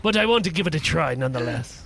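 Male tabletop player, forceful high voice with a creaky edge, theatrical casual banter, slight background noise. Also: animated, quick pace.

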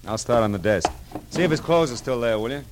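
An actor playing a tough, world-weary private detective in an old-time radio crime drama, with an American accent and terse, streetwise delivery. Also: male voice, medium pitch, lively intonation, quick pace, noisy background, lightly acted. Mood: thoughtful.

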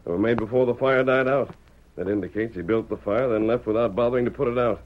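An actor playing an earnest, commanding masked cowboy hero in an old-time radio western, reasoning aloud in crisp, formal sentences. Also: male voice, medium pitch, fast talker, slight background noise, natural voice. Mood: earnest, pondering. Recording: tinny, narrow-band vintage radio recording.

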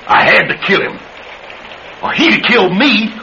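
An actor with a Southern US accent playing a cocky, menacing young escaped killer in a radio drama, folksy and taunting. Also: male voice, high voice, big pitch swings, moderate pace, bright, forceful tone, full character voice. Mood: boastful. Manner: folksy drawl, taunting and boastful. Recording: noisy, dull old radio drama recording.

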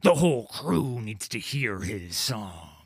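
A man's voice: lowish voice, big pitch swings, slow pace, husky, no room sound, exaggerated voice. A man voicing a cold, menacing pirate captain. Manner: quiet, controlled menace. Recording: dry studio recording.

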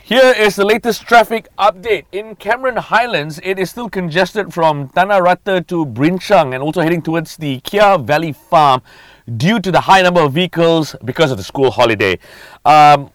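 Higher-pitched Malaysian male traffic announcer. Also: no echo. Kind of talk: scripted traffic report.

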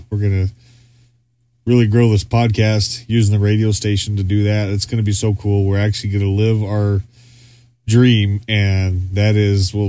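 A man's voice: lowish voice, a little mellow, no room sound. A man co-hosting a podcast in casual chat, rambling with lots of fillers and restarts. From Arizona, US. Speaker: podcast co-host.

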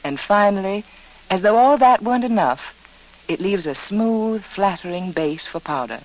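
A woman's voice: mid-range voice, animated, normal speed, poor audio. A woman reading a 1940s radio advertisement as a commercial announcer, with polished, persuasive delivery on a vintage recording.

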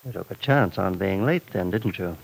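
A man's voice: low voice, lively intonation, normal speed, creaky, husky, exaggerated voice, low-quality recording. An actor in an old-time radio drama playing a cold, mysterious, menacing man who speaks in a clipped, domineering way. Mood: suspicious, probing. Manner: clipped, controlled, coolly domineering.

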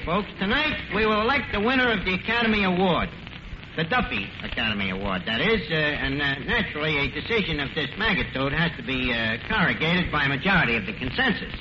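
An actor playing a pompous, slangy tavern manager who mangles big words in mock-formal speeches in a 1940s radio comedy. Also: male voice, sits high. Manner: mock-formal, malapropisms, hesitant. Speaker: comic master of ceremonies. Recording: noisy, dull 1940s radio recording.

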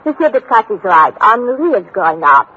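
An actress playing a polite, guarded housekeeper and former governess in an old radio drama. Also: female voice, on the high side, big pitch swings, a little fast, husky. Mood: polite, confiding. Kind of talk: 1950s radio drama acting. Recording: tinny, hissy old radio broadcast.

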